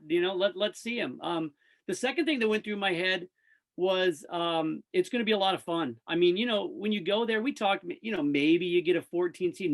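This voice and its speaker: A man's voice: high voice, fairly bright tone. A man speaking as a radio play-by-play announcer in a casual, enthusiastic sports interview.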